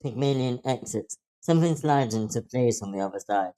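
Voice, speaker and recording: A man's voice: mid-range voice, lively intonation, normal speed, dark tone. A man reading stage directions aloud as narrator at an amateur script table read.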